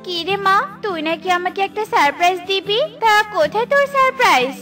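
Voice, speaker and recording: Woman as a gentle mother bird, high voice, soothing cartoon delivery, music behind. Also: lively intonation, moderate pace. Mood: curious, puzzled. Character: gentle, pious mother bird, older and consoling. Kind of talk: children's cartoon voice acting.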